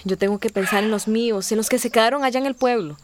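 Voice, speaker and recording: A woman's voice: a little high, fast, a little hiss or hum, natural voice. An actress playing a tender, homesick young migrant woman in a radio drama, speaking in a sighing, sing-song way. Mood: wistful, uneasy.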